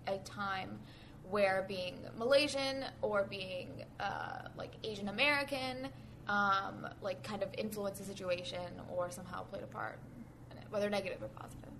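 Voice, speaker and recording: A woman's voice: mid-range voice, moderate pace, a bit bright, a little hiss or hum. A woman co-hosting a podcast, asking questions casually with fillers and restarts. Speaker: podcast co-host. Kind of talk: casual chat.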